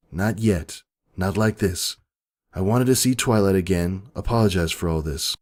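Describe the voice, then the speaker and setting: A man's voice: fairly low pitch, darkish tone. A man giving an earnest, solemn dramatic narration of a first-person story. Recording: background music.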